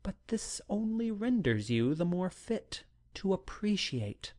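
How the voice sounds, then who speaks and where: A man reading a classic novel as a dramatic audiobook, with a solemn, deliberate, literary delivery. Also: high voice, sing-song, darkish tone. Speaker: audiobook narrator.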